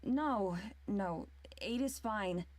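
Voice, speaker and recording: Reserved young visual novel character, terse, extremely slow delivery, dry recording. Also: female voice, medium pitch, no room sound. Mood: curt, restrained. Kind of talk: voice-acted game dialogue.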